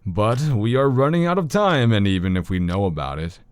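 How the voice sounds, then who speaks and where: An actor playing a grave, learned older doctor of the occult in an audio horror drama, speaking in a measured, formal way. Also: male voice, on the low side, lively intonation, smooth, no echo, close to an everyday voice.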